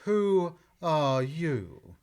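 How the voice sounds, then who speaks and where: A woman's voice: sits low, sing-song, drawn-out words, darkish tone, clear, resonant tone, exaggerated voice. A woman playing a languid, sleepy, curt and contrary caterpillar in a full-cast audiobook.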